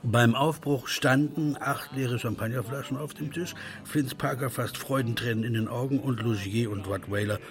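A man's voice: mid-range voice, normal speed, few pauses. A man narrating a literary radio play as one of its storytellers.

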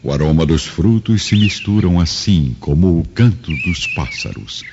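A man's voice: low-pitched, animated, normal speed, background noise. A man narrating a radio drama in a scripted, solemn, literary style. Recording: muffled lo-fi recording under music.

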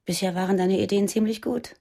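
Husky soft-spoken lady on the run, radio drama, intimate and probing, natural delivery. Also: mid-range voice, fairly even intonation, fairly quick. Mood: anxious, grateful. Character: quiet, probing woman on the run.

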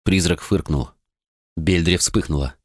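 A man narrating an audiobook in a calm, measured way. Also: sits low, husky, no room sound, clean studio sound. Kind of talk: scripted narration.

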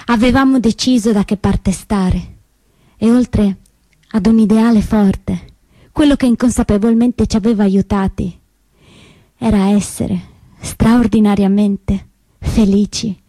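Casting: woman playing a resolute survivor, soft dark tone, husky edge, solemn measured stage monologue. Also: medium pitch, fairly even intonation, no room sound, close to an everyday voice, poor audio. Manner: solemn, deliberate, broken phrasing. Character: grave female Auschwitz survivor recalling deportation, resolute. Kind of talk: theatrical testimony monologue.

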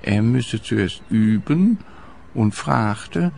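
A placid, eccentric old war veteran. The voice is low and extremely gravelly, drawn out slowly and earnestly, as in a radio play. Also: male voice, expressive, a little mellow, slight background noise, full character voice.

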